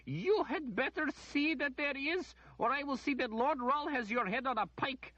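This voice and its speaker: Shrill haughty noblewoman as a male storybook reader puts her on, shrieking, very high, muffled dry sound. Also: expressive, normal speed, sharp tone, no echo, exaggerated voice. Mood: imperious. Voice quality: shrieking, petulant.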